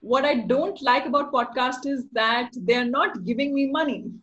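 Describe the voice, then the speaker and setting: A woman's voice: sits high, moderate pace, fairly bright tone, muffled recording. Slightly nasal woman, playful guest. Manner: instructive, playful, laughs often. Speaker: podcast guest, voice-over artist. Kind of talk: casual interview.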